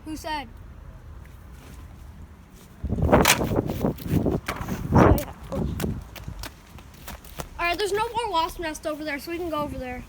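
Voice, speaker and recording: A female speaker with a high voice gives informal, spontaneous commentary. She sounds casual, as in an amateur outdoor video, with clear noise around her. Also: lively intonation, slow, long pauses. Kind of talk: casual chat while filming outdoors. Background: clear ambient noise.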